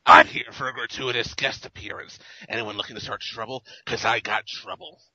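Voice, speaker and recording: Harsh, gruff superhero brawler. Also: male voice, medium pitch, steady tone, fairly quick, bright, forceful tone, dry recording. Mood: cocky, menacing. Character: gruff, cocky male superhero brawler with claws, spoiling for trouble. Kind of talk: amateur dramatic reading of a cartoon parody. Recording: muffled lo-fi internet call audio.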